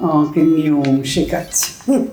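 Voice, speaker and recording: Woman playing a bossy, animated older housewife. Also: low voice, moderate pace, roomy sound, faint music behind. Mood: boastful. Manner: scolding, wheedling, self-important chatter. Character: bossy, boastful, illiterate older housewife at a bank. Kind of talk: comic audio drama acting.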